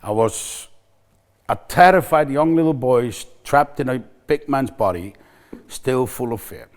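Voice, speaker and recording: A middle-aged man with an Icelandic accent talks straight to the camera for a recovery center. His voice sits at an ordinary middle pitch. He sounds candid and reflective, circling back and restarting his sentences as he searches for words. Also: lively intonation, unhurried. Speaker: recovery center staff member. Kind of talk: personal talk to camera.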